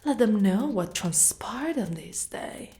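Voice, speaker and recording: A woman voicing a proud, fiercely protective female dragon knight in an ASMR roleplay, speaking deliberately and often breathy or whispered. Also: mid-range voice, lively intonation, dark tone. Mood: cold menace. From Italy.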